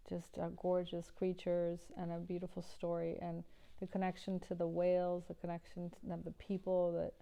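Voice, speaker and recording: A middle-aged woman from Iran speaks as an interview guest, her voice low, gravelly and dark in tone. She talks earnestly and evenly, often pausing on fillers and restarting her sentences. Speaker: environmental activist guest. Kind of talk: interview answers.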